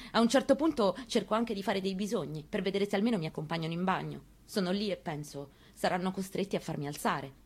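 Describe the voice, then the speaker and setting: Woman reading an intense first-person monologue. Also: deep voice. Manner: measured, intimate, quietly intense telling. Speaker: actress performing a reading. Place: radio broadcast of a stage reading.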